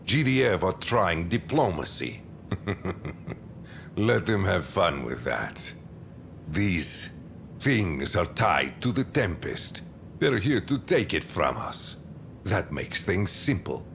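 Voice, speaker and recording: An actor playing a proud, menacing dynasty leader in a game cutscene, imperious and clipped, with a gravelly voice. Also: male voice, low-pitched, big pitch swings, slow pace, a bit bright, slight background noise, full character voice. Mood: scornful. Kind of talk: dramatic game cutscene acting.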